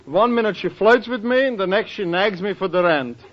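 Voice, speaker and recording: Teasing eccentric professor, lively bouncing voice, fairly high, dull old radio sound. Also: male voice, fairly quick. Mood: joking. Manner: joking, teasing punchlines. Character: wisecracking eccentric old professor, a freeloading tenant. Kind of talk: 1940s radio sitcom acting. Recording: dull vintage radio with audience.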